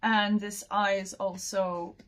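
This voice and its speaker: A young woman, not a native English speaker, talks through an oracle card reading at an unhurried pace. Her pitch sits in the middle range, and she wanders from thought to thought with frequent soft laughter. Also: little pitch movement. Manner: slow, meandering, laughs often between thoughts. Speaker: tarot and oracle card reader. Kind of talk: casual spiritual reading to camera.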